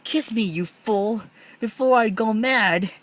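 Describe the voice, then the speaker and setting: Man playing storybook boy genius, high-pitched, lovesick, earnest, melodramatic, excitable. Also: slow pace. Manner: melodramatic, gushing, urgent.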